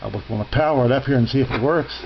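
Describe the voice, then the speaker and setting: An American man giving a casual, unscripted tour of his radio room in a halting, rambling, folksy and polite way. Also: medium pitch, gravelly. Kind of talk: casual unscripted show-and-tell narration. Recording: dull lo-fi home video, faint noise.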